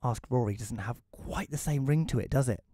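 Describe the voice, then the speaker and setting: A man playing a bitter, reclusive widower who narrates an audio play in a wry, deadpan way. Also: mid-range voice, brisk, no echo, clean studio sound, lightly acted.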